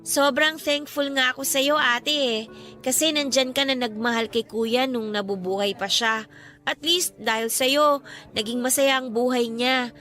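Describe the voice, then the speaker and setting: A supportive younger sister in a Filipino radio love drama. She is bubbly and girlish, with a very high, bright voice. Music plays lightly behind her. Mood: tender, thankful.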